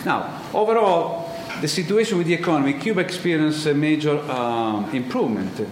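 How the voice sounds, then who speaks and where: A man from Italy with an Italian accent, giving an economics conference talk and often pausing on ums and uhs. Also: fairly high pitch. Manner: halting, many ums and uhs, searching for words. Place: large lecture hall. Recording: echoey hall with background noise.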